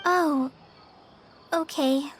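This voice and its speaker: Very high-voiced young apprentice girl. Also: fairly even intonation, extremely slow, slight background noise. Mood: hesitant. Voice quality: girlish. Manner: respectful, eager, slightly unsure. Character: earnest young girl apprentice, polite and eager to help. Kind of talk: video game voice acting. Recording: music behind the voice.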